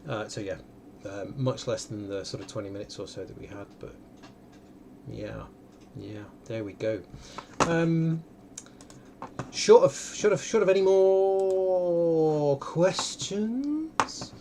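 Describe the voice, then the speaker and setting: A man hosting a casual live question session, talking haltingly and often repeating phrases as he goes. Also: a little high, lively intonation. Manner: hesitant, halting, circles back and repeats phrases. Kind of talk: casual unscripted question-and-answer talk. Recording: clearly noisy live stream audio.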